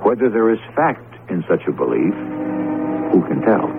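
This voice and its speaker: A middle-aged man hosting a radio drama with deliberate, portentous scripted narration. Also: fairly low pitch, sing-song, creaky. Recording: muffled old radio broadcast with music. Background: music.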